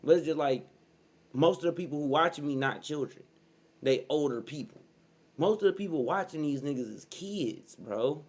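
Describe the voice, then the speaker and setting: A man's voice: a little high, normal speed. A man in his early thirties giving blunt, profane reaction commentary as host, keeps saying "bro". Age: 30s.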